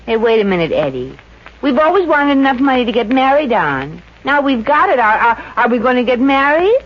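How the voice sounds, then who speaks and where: A woman's voice: fairly high pitch, very animated, a little fast. A woman playing a wisecracking, down-to-earth young girlfriend in a 1950s radio comedy, with a blunt, sassy delivery. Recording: dull, hissy old radio recording.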